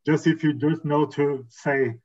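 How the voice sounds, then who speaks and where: A man's voice: fairly high pitch, fairly even intonation, unhurried, darkish tone, breathy edge. A man speaking on a webinar panel over a video call, earnest and repeating words, tagging sentences with "right?". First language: Portuguese. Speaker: legal and compliance counsel. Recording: dull lo-fi video call audio.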